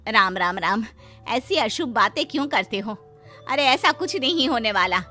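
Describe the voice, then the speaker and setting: A woman voices a devoted, hard-up housemaid wife in a cartoon story. Her voice is bright and on the high side, with an earnest, anxious manner. Light music sits underneath. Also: lively intonation, moderate pace. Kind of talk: Hindi moral cartoon story dialogue.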